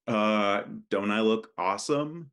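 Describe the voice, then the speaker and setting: Guy reading as a tipsy teen boy in a play, low voice, prodding and hurting. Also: slow pace, a bit bright, clean tone. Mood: defiant, tipsy.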